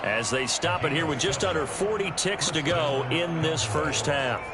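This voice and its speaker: An actor playing a polished American play-by-play football announcer in a video game. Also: male voice, a little high, normal speed, over a noisy crowd, very noisy, lightly acted. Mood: measured, alert.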